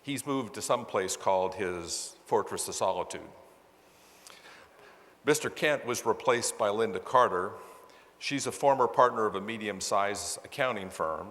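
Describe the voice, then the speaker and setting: Male conference presenter, low voice, conversational and self-correcting, heard with long hall reverb. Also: measured pace, fairly bright tone. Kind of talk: explanatory presentation to an audience. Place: large meeting hall with sound system.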